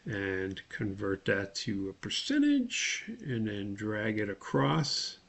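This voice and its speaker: A man giving a methodical, step-by-step spoken tutorial as an instructor, with a creaky voice. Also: on the low side, fairly bright tone. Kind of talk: spoken screen-share tutorial.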